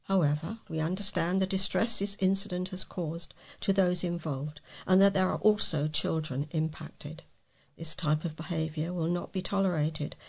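A woman's voice: lowish voice, vocal fry, darkish tone. A woman reading scripted local news as a volunteer newsreader.

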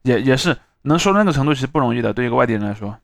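A man's voice: medium pitch, animated, very fast, creaky, dry recording. A man co-hosting a podcast in casual panel chat, with a wry, conversational way of talking. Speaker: podcast host.

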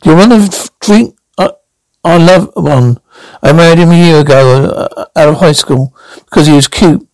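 A man reading the lines of a frank young woman from a small town, talking in quick rushes and restarting words. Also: a little high, a little mellow, no room sound, natural voice, low-quality recording.

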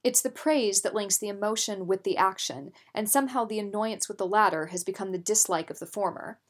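A woman narrating scripted nonfiction as an audiobook reader, in a measured, articulate way. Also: mid-range voice.

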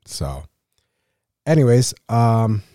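A man's voice: mid-range voice, very animated, drawn-out words, warm tone, dry recording, clean studio sound. A man with a cold hosting a photography podcast, rambling in a casual rant full of "you know" and "right?". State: sick with a cold.

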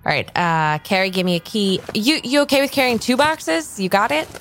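A woman's voice: medium pitch, sing-song, a little fast, a bit bright, faint music behind. A woman playing an easygoing, slightly flustered tarot reader in an improvised audio drama, speaking in hesitant, agreeable starts. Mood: casual, busy.

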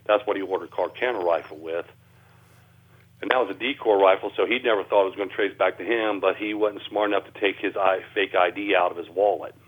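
A man's voice: sits low, steady tone, fairly quick. Plainspoken man on a tinny phone line. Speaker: interview guest and author. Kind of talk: casual explanatory interview talk. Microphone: telephone.

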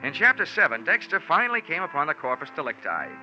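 An American actor playing a tough, wisecracking insurance investigator in a 1940s radio detective drama, with sardonic, clipped delivery. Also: male voice, fairly high pitch, big pitch swings, fast talker, bright, forceful tone, heavily acted. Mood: wry narrating. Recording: dull vintage 1940s radio recording, faint music.

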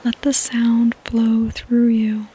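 A woman gently guiding a sleep meditation as a podcast host, in a soothing, breathy voice that often nears a whisper. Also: a little high, monotone, slow, slight background noise. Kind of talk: soft-spoken guided sleep meditation.

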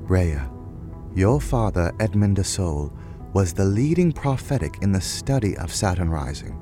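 Earnest bookish scholar, lively pitch. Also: male voice, a little slow, faint music behind. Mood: earnest explaining. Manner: pedantic, hesitant, formal. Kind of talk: audio drama acting.

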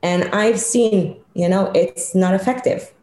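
A woman's voice: mid-range voice, measured pace, warm tone, echoey room, a little hiss or hum. A woman with a mixed British and Israeli accent, a guest on a podcast, talking earnestly about leadership and listing ideas thoughtfully. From born in Israel, British Israeli. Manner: earnest, reflective, speaks in lists. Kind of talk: podcast interview. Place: remote call from home or office.

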